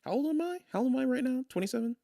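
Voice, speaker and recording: A man in his twenties from Maryland, chatting casually on a stream, rambling and thinking out loud with frequent laughs. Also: extremely high pitch, expressive, racing, gravelly, no room sound, clean studio sound. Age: 20s. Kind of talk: casual chat on a game stream.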